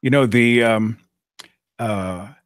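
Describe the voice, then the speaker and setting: A middle-aged man with a raspy voice, hosting a casual live-stream chat and rambling along with plenty of fillers. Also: lowish voice, slow pace, dry recording, clean studio sound. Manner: rambling, conversational, with frequent fillers. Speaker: podcast host.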